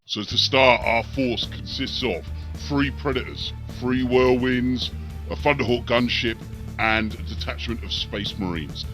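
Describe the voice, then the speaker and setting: A man's voice: medium pitch, measured pace, music in the background. A man hosting and narrating a war game battle report, with emphatic, theatrical commentary. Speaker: host and narrator.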